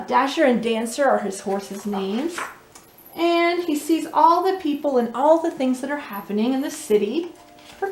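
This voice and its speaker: A woman's voice: sits high, a little hiss or hum. A woman telling and reading a children's story expressively, often putting questions to her young listeners. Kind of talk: children's read-aloud storytelling. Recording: roomy, echoey indoor sound.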